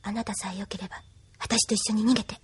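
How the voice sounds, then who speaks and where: An actress playing a gentle, earnest, plucky young woman in an anime audio drama, with a sincere, caring, girlish manner. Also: medium pitch, flat delivery, quick pace, slightly rough, no room sound. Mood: resolute.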